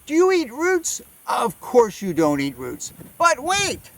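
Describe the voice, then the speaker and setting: A man's voice: very high-pitched, wildly swooping pitch, slow. A man giving playful gardening asides for children, asking and answering his own questions theatrically. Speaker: garden educator guest.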